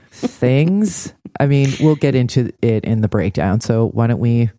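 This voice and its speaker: Brief: female podcast host, dark relaxed timbre, dry close sound, sardonic recap delivery. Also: very deep voice, a little slow, dry recording. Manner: sardonic, blunt. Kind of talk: conversational TV episode recap.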